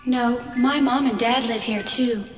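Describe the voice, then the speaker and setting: Sweet, lonely, old-fashioned ghostly girl: lightly high voice, long reverb, kids' horror tape. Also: steady tone, a little slow, soft background music. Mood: wistful, eerie. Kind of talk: children's audio horror drama acting.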